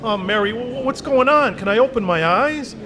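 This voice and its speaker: A man's voice: extremely high pitch, brisk, crowd noise. An overjoyed, stammering father. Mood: joyful. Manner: excited, stammering. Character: overjoyed family man, exuberant father. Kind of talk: live radio play. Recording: live stage radio play with audience.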